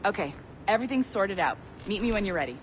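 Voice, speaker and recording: A woman playing an upbeat, efficient office supervisor who briskly guides a newcomer in a video game cutscene. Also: medium pitch, very animated, slight background noise, natural voice. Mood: upbeat, welcoming. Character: upbeat, efficient female office supervisor welcoming a new hire.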